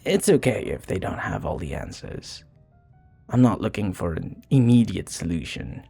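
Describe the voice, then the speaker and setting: Man acting a low, husky, flirty vampire bartender. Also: big pitch swings, soft background music, full character voice. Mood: hopeful, grateful. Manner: tender, hesitant, flirtatious, with soft pauses. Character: charming, flirty, sincere male vampire bartender. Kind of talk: intimate ASMR roleplay acting.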